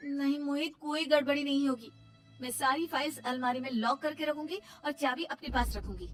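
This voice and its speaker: A woman's voice: high voice, fairly quick, soft background music, natural voice. A woman playing a wronged young wife, earnest and protesting, in a cartoon moral story. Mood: reassuring.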